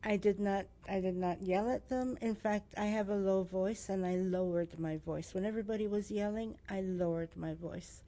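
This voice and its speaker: A woman's voice: mid-range voice, moderate pace, few pauses. Emphatic, laughing woman radio guest. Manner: emphatic, animated storyteller who laughs often. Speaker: former homeowners association board member. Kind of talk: conversational radio interview.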